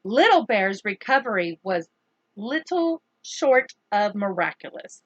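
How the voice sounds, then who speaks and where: A woman reading a chapter book aloud to children in an expressive, deliberate storyteller's way. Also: medium pitch, dry recording, muffled recording.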